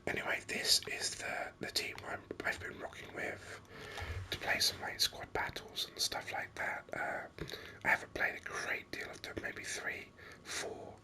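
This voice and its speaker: A gaming video host speaking in a breathy whisper, giving unhurried, rambling ASMR commentary with enthusiasm. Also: bright, forceful tone.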